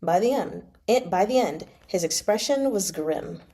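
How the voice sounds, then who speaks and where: Female read-along host, relaxed dark-toned voice, casual and expressive delivery for novel reading and commentary. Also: mid-range voice, measured pace. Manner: informal, slangy, expressive commentary. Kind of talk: reading a novel aloud with casual reaction asides.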